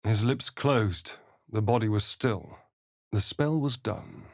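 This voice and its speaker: An actor playing a sly, vain vampire spawn rogue in a video game, speaking in an arch, theatrical drawl. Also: male voice, fairly low pitch, slow, no room sound, clean studio sound. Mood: hushed narration. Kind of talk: video game character voice acting.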